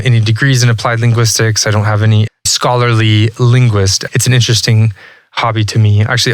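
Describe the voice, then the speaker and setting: A man from Texas in his thirties, speaking as a podcast guest with a somewhat low voice. He talks in a relaxed, reflective way and restarts his sentences often. The recording is dry and close, with no room sound. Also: no echo. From Texas, USA. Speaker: guest, language learning entrepreneur. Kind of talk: casual interview talk.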